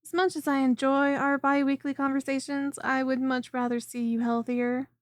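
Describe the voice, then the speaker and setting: A woman playing a friendly, clingy female lamia chiropractor in an ASMR roleplay, speaking in a soft, coaxing and teasing way directly to the listener. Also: high voice, flat delivery, normal speed, no room sound, studio quality, close to an everyday voice. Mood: caring, fussing. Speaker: voice performer.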